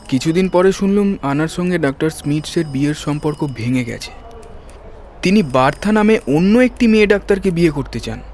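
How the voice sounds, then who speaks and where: An actor playing a polite, earnest young surgeon giving an account in a detective audio drama. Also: male voice, fairly high pitch, darkish tone, close to an everyday voice.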